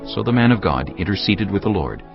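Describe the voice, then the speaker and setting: A man with a very low voice narrates scripture as solemn drama. His pitch moves expressively, and clear background music sits under a slightly dull recording. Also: big pitch swings, brisk. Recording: muffled audio under prominent music.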